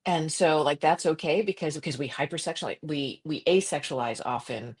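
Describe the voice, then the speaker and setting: A middle-aged woman from Croatia, a journalist guest talking passionately in an interview, with frequent restarts and quick laughs. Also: husky. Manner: animated, impassioned, jumps to high pitch for quoted voices. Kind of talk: conversational interview.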